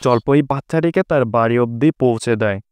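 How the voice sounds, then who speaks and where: A man's voice: medium pitch, fast talker, a little hiss or hum. A man giving a scripted storyteller's narration for a fairy-tale cartoon. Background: prominent music.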